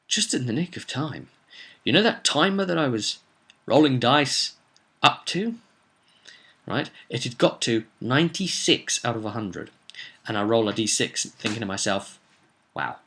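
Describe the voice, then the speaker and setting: A man, a game master, casually recounting a tabletop roleplay session in an animated, conversational way. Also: mid-range voice. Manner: animated storyteller, conversational asides and tag questions.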